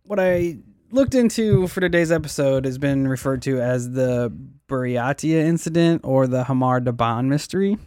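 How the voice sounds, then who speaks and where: A man's voice: mid-range voice, normal speed, darkish tone, no echo. Guy hosting a podcast, laid back, swears a lot, trips on names, dry close mic. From United States. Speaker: podcast host. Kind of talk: casual podcast storytelling.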